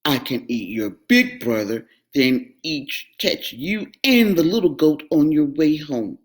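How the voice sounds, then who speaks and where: A woman's voice: sits low, sing-song, slow, exaggerated voice. A woman voicing a greedy, bullying troll who roars his threats. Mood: scheming. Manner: roaring, loud and gruff.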